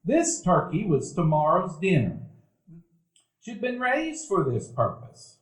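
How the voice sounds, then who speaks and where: A man narrating a stage radio play as a grown man recalling his boyhood, in a measured, reflective telling. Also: high voice, huge pitch swings, heavily acted. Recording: roomy event hall.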